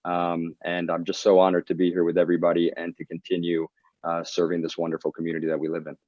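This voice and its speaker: A man, an estate agent, speaking fast and earnestly on a webinar panel. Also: low voice, completely flat delivery, a little mellow, no room sound. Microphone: video call. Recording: dull dry sound.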